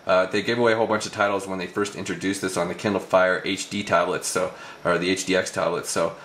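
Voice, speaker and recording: Casual male tech reviewer, lowish pitch, brisk pace, roomy echoing recording. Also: level tone, fairly bright tone, slight background noise. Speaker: tech reviewer presenting a demo. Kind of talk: casual product demo narration.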